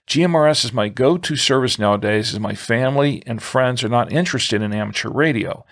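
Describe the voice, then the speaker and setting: Male podcast host, mid-pitched, conversational, pausing often as he goes. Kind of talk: conversational podcast hosting with listener mail.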